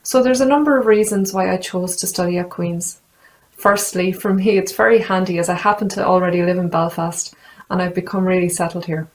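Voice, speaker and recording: A woman's voice: on the low side, a little fast, low-quality recording. A young woman, talking steadily and earnestly about her studies into a laptop webcam at home. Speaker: master's student. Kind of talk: prepared testimonial talk. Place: room at home with shelves.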